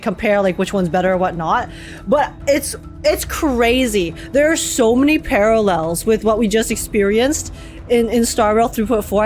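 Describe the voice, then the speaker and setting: A young woman streaming a game with casual, excitable, slangy live commentary. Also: fairly high pitch. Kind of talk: casual live reaction commentary over gameplay. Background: faint game music.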